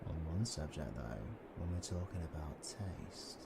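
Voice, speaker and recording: A man playing a cocky, menacing, flirtatious British supervillain in an intimate roleplay audio drama, breathy and taunting. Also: a bass voice, expressive, a little slow, very noisy, exaggerated voice. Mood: smug, taunting. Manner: taunting, breathy, swings between whispering and shouting.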